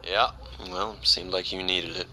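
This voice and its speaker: A man's voice: low voice, fairly even intonation, measured pace, crowd noise. A young man with an American accent playing a protective, exasperated, foul-mouthed best friend in a naturalistic audio drama. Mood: subdued, concerned. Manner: blunt, profane, slangy.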